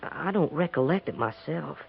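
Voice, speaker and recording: An actor playing an earnest, pleading young frontier farm boy with a rural American accent and a halting, folksy manner in a 1950s radio western. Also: a little high, expressive, vocal fry, slight background noise. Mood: shy, talkative. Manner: halting, folksy, stammering when pressed. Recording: dull 1950s radio recording.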